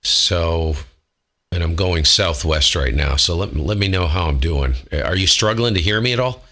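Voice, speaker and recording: A man's voice: low voice, expressive, normal speed, pushed and bright. A man chatting casually as an amateur radio operator, in a blunt, slangy way. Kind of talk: casual ham radio chat.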